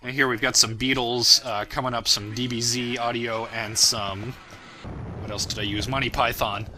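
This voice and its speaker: A man's voice: mid-range voice, little pitch movement, moderate pace, sharp tone, soft background music. A young man giving a casual, rambling and self-deprecating commentary on his own work. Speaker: creator of a fan parody series, commentator.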